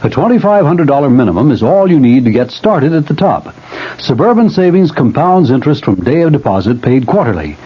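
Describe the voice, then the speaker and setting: Husky, animated male radio announcer. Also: fairly high pitch, a little fast. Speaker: commercial announcer. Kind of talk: scripted radio advertisement. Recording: dull vintage radio recording.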